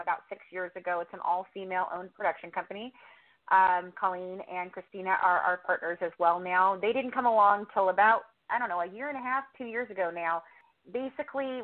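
A narrow, tinny phone line carries a woman's mid-pitched voice. She is an interview guest, telling her story casually and conversationally. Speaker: podcast host, interview guest.

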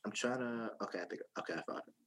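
Male co-host at a middling pitch, easygoing and jokey, searches for words mid-sentence. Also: fairly bright tone. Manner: halting, many ums and restarts, playful. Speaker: podcast co-host. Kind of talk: casual chat.

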